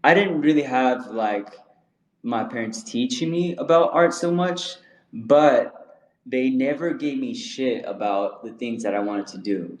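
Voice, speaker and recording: A man's voice: medium pitch, measured pace. A man hosting a casual interview, laid-back and slangy, with plenty of fillers. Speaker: podcast host. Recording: roomy echo.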